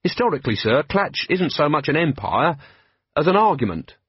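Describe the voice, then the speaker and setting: A man's voice: a little high, big pitch swings, moderate pace, slightly rough, no echo, highs cut off, voice pushed up, full character voice. A man voicing a polite, earnest young officer who speaks deferentially.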